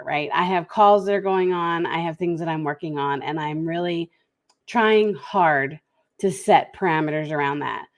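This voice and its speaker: A woman with a head cold hosting a solo talk show and giving practical tips in a relaxed, conversational way. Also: lowish voice. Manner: conversational, lively, speeds up and slows down. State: head cold, slightly congested. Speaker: podcast host.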